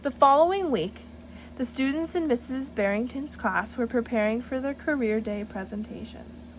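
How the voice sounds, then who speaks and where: A woman reading a picture book aloud to children in an encouraging, teacherly way, pausing to address them directly with questions. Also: on the high side, slight background noise, low-quality recording. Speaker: storybook reader for children, student teacher.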